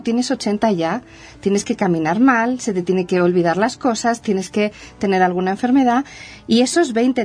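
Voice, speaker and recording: A woman's voice: medium pitch, dark tone, slightly rough. A young woman with a Spanish accent, a guest on a TV talk show, speaking earnestly and with enthusiasm. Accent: Spanish (Spain). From Mexico City, raised in Spain. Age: young adult. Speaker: guest, entrepreneur. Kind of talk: TV interview.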